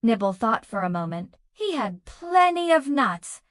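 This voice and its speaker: A woman's voice: on the high side, big pitch swings, measured pace, no echo, clean studio sound. A woman reading scripted bedtime stories for children as a storyteller and host.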